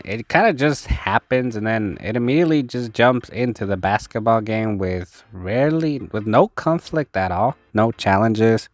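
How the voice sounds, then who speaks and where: A man hosting a movie review channel, sounding congested and tired as he recovers from losing his voice, speaking close into a microphone. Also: no echo. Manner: low-energy, tired. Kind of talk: casual spoken review. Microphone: speaking close into a mic, voice-over.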